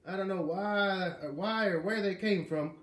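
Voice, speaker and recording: A man's voice: high-pitched, moderate pace, a bit bright, pitched above the performer's own voice. A man voicing a folksy construction foreman with a rustic, ungrammatical, placating manner in a storybook reading. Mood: defensive. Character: folksy, eager-to-please male construction foreman. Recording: roomy, echoing, noisy home recording.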